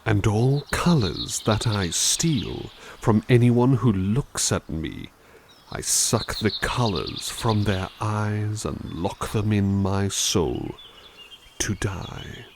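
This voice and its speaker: A man's voice: lowish voice, lively intonation, slow, vocal fry, a little hiss or hum, full character voice. A man playing a kind, courteous butler who reads poems aloud and muses on them in a formal, polite way for an ASMR roleplay. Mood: reciting. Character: kind, courteous male butler reading to listener.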